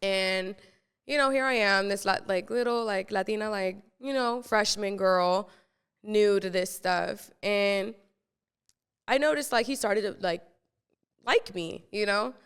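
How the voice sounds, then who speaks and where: A woman's voice: medium pitch, measured pace, clean tone, big-room sound. A young woman, hosting a podcast and telling stories from her own life in a chatty, slangy way full of fillers. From San Francisco Bay Area. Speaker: podcast host. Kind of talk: casual storytelling.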